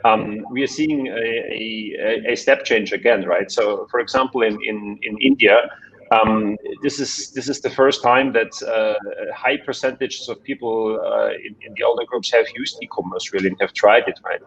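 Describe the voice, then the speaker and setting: A man with a German accent on an online panel, talking in halting phrases full of restarts and tag questions. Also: on the low side, fairly bright tone, highs cut off, slight background noise. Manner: hesitant, many restarts and "right?" tags. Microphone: video call.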